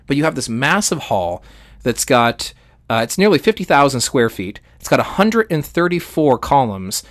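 A man's voice: mid-range voice, sing-song, normal speed, no echo, clean studio sound. A man co-hosting a podcast, explaining history in an enthusiastic, conversational and emphatic way. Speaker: podcast co-host.

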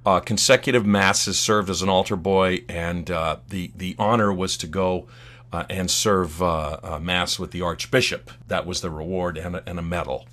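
A man's voice: sits low, fairly even intonation, normal speed, fairly bright tone. An older man chatting as a podcast guest, with a wry, theatrical and self-mocking way of putting things. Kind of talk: casual humorous interview talk.

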